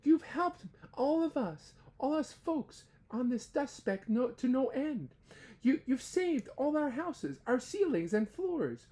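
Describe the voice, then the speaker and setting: A woman's voice: sing-song, takes it slowly, warm tone, heavily acted. A woman voicing a tiny, grateful male mayor of a speck-sized town in a storybook reading. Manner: high piping, eager.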